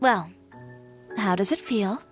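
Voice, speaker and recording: A self-assured young fashion designer from a video game. She speaks at a medium pitch, composed and assertive with a dry bluntness. Music plays faintly behind the voice. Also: expressive, takes it slowly. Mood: calm, wistful. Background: background music.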